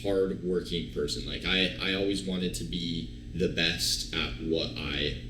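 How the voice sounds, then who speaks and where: A man of twenty-two telling his story as an interview guest, relaxed and rambling with lots of fillers and restarts. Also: deep voice, measured pace, music in the background. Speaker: guest, online fitness coach. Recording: roomy echo.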